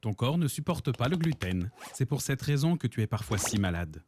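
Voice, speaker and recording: A man voicing a calm, reassuring male doctor in a cartoon, explaining things patiently over music. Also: medium pitch, moderate pace, noisy background, close to an everyday voice.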